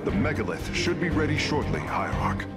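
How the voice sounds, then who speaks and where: An animated alien engineer. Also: male voice, fairly high pitch, moderate pace. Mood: businesslike. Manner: formal, precise, inquisitive. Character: earnest alien engineer-scientist, cerebral and dutiful. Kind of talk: dramatic video game acting. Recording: loud game music behind.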